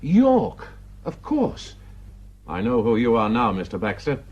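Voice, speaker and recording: Husky, swooping, smug British newspaperman. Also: medium pitch, wildly swooping pitch, measured pace, darkish tone, lo-fi sound, exaggerated voice. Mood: probing, triumphant. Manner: theatrical, sly, mocking. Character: needling, nosy male agricultural journalist, smug and insinuating. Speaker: radio drama actor. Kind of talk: British radio drama acting.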